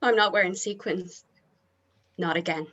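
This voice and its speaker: A woman's voice: fairly low pitch, moderate pace, a bit bright. A woman playing a foul-mouthed, sneering, combative heavy metal guitarist in a script read-through. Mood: grumbling.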